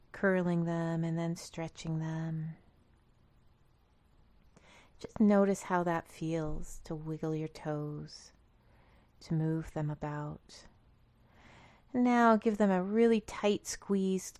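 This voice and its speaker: A woman leading a guided meditation in a calm, gentle, instructional manner. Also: on the low side, no echo. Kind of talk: guided body scan meditation.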